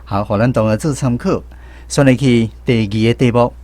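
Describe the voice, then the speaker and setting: A man's voice: medium pitch, normal speed, a little mellow. A man with a Taiwanese accent hosting a language lesson segment on the radio as quizmaster. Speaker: radio show host and quizmaster.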